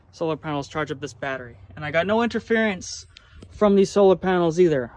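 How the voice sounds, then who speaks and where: A man giving a casual, enthusiastic walkthrough as a hobby vlogger, speaking informally while showing his gear. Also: fairly high pitch. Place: in and around a truck. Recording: clear vehicle and ambient noise.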